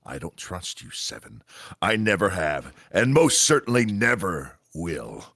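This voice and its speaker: A man as a pompous, slow, low-voiced stern boss. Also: sing-song, vocal fry, fairly bright tone, no room sound, studio quality, full character voice. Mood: disdainful. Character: stern, disdainful male executive agent, the boss. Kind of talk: comedy script table read.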